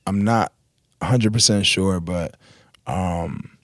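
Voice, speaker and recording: A man whose first language is Arabic, a rapper guest telling stories in a relaxed, rambling way with slang, on a studio mic in a radio studio. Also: low voice, slow, no echo. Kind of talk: casual interview chat.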